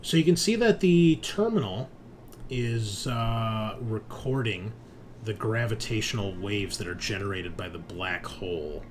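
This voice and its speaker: A man working as game master, narrating a tabletop roleplaying game in a halting, conversational way. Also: fairly low pitch, expressive, a little hiss or hum.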